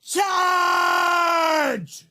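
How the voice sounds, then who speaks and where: An actor playing a deluded, pompous nephew who declaims like a military commander in a radio comedy. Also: male voice, very high-pitched, huge pitch swings, extremely slow, shouting, crowd noise, background noise, heavily acted. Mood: charging, exuberant. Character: deluded, booming nephew who believes he is Teddy Roosevelt. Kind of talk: radio comedy theatre acting.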